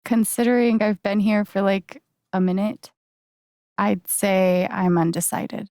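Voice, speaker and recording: A woman's voice: medium pitch, steady tone, unhurried, a little mellow, dry recording, clean studio sound, close to an everyday voice. A woman voicing a friendly, wry young newcomer in a video game, talking in a casual, naturalistic, dryly joking way. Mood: casual, curious. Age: young adult.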